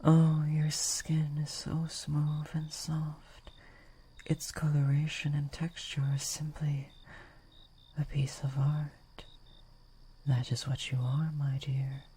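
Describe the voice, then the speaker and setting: A woman voicing a gentle but possessive vampire lady in an intimate roleplay, soft-spoken and often whispering, with formal, old-fashioned, coaxing phrasing. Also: low voice, flat delivery, slight background noise. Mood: hungry, intimate. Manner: formal, archaic, slow, coaxing.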